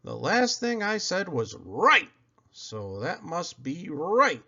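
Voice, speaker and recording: Young storybook bunny acted by a man: naive, puzzled, high and bright, slightly muffled recording. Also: big pitch swings, slow, exaggerated voice. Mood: pondering. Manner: puzzled, questioning. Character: naive inattentive young boy bunny with long ears.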